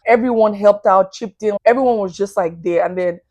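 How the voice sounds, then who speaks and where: A woman in her thirties with a Nigerian accent shares her story as a podcast guest. She speaks openly and with animation, in a warm mid-range voice. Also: medium pitch, fairly quick, highs cut off. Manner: candid, animated, laughs often. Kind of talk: casual testimony.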